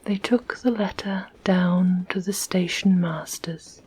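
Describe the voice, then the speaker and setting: A woman narrating a classic children's book in a soft whisper, in an ASMR reading. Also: fairly low pitch, husky. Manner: soft, even, unhurried. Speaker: audiobook narrator.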